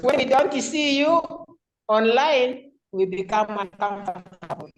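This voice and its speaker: A woman's voice: fairly high pitch, animated, unhurried, a crowd in the background. A woman with a Ugandan accent speaking informally as the president chairing an online club meeting. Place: remote video call.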